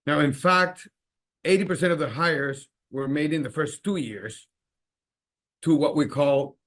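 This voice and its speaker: Halting male executive explaining results. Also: on the high side, a bit bright. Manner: halting, repeats words. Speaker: company executive. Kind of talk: earnings call answers, explanatory.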